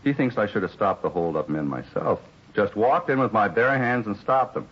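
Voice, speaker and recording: A man acting a weary, put-upon bar employee in a 1950s radio drama, rambling along plainly in an American accent with a husky voice. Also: on the low side, moderate pace. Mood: wry, resigned. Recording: muffled 1950s radio recording.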